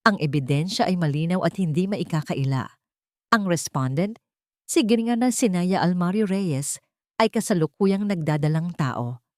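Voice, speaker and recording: A woman narrator plays a confident Filipino male lawyer in an audio story, accusing and theatrical. The delivery is formal courtroom rhetoric with animated swings in pitch, recorded dry. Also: moderate pace, no echo, lightly acted.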